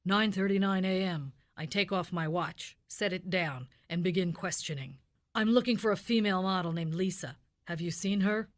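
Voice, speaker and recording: A woman playing a deadpan, hard-boiled detective in a parody police radio drama, narrating the case in clipped sentences. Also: lowish voice, moderate pace, close to an everyday voice. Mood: clipped, businesslike.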